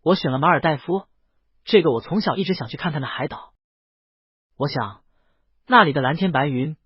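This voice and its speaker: Husky male storyteller, higher-pitched and expressive. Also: no room sound, muffled recording. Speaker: audiobook narrator. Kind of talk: scripted first-person story narration.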